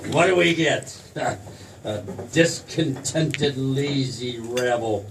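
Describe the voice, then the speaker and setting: A man acting a warped old money-man villain for a radio play. He speaks very slowly and coldly, dripping with scorn. The sound is distant and a little noisy. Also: mid-range voice, natural voice. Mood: scornful. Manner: scornful, deliberate, commanding. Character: cold, contemptuous, warped old male tycoon villain. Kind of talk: old-time radio drama stage acting. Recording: noisy stage recording from far off.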